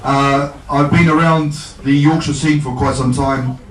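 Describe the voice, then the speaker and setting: A man's voice: mid-range voice, fairly even intonation, unhurried, a bit bright. A man with a New Zealand accent, a rugby coach introducing himself at a fan evening with self-deprecating jokes and many fillers. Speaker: head coach. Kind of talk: casual speech at a fan evening. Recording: echoey room, far from the mic, crowd behind.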